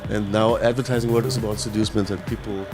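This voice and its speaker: A man who grew up in Germany, a painter speaking in a casual interview, halting and searching for words, often checking with a quick "right?". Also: lowish voice, flat delivery, over music.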